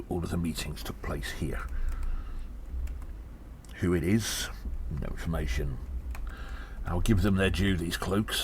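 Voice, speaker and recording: Man as weary gnome investigator, audio drama monologue, very low pitch, drawn-out deliberate delivery, pondering, breathy sighs. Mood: weary, musing. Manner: slow, deliberate, halting, pondering. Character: weary gnome investigator reporting on a spy conspiracy. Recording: faint background noise.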